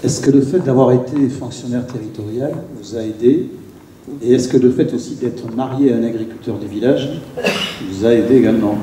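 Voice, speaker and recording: A man moderates the debate in a very dark, mellow voice, polite and formal. He sounds distant from the microphone, and the room rings with echo. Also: mid-range voice, brisk, slight background noise.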